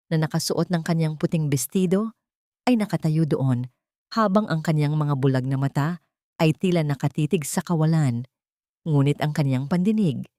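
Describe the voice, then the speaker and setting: A woman's voice: sits low, a little mellow, dry recording, clean studio sound. A woman storyteller giving a scripted, dramatic audiobook narration.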